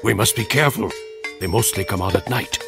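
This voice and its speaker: An actor playing a grave, cautious soldier companion in a fantasy game. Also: male voice, fairly low pitch, brisk. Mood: wary. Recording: music clearly under the voice.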